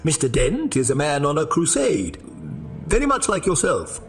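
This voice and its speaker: A polite frank butler for an audio drama, very lilting, big echoey hall, faint music. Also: male voice, on the high side, huge pitch swings. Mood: gently frank. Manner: formal, polite, measured. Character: loyal, gently frank manservant to a wealthy man.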